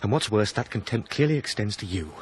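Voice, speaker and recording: A man's voice: fairly low pitch, animated, fairly quick, very noisy, close to an everyday voice. An actor playing an indignant, protective house guest in a radio drama, an Englishman with an intense, insistent way of talking. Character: earnest, indignant younger male house guest, protective and anxious. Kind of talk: 1990s radio drama acting.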